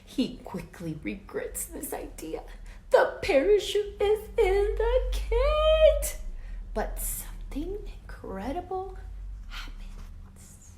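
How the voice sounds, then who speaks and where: A woman reading a picture book aloud to children as a teacher, dramatic and expressive, pausing to ask her listeners questions. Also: very high-pitched. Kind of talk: animated children's read-aloud with asides to listeners. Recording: roomy echo with faint background noise.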